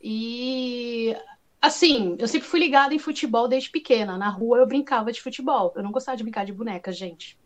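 Higher-pitched Brazilian woman chatting informally. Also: normal speed. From Brazil, moved from Paraguay as a toddler. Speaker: podcast guest. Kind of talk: casual chat.

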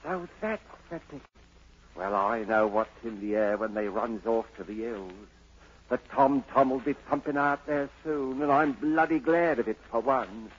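An actor playing a sly, bullying, bedraggled Cockney rogue in a 1940s radio drama, sneering and slangy. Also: male voice, mid-range voice, a little slow, breathy edge. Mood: gloating, scheming. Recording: dull old 1940s radio broadcast.

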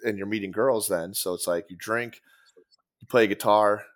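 A man's voice: lowish voice, fairly even intonation, moderate pace. A man talking casually on a podcast, rambling with many fillers, restarts and casual swearing. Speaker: podcast guest, former band guitarist. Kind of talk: casual conversation.

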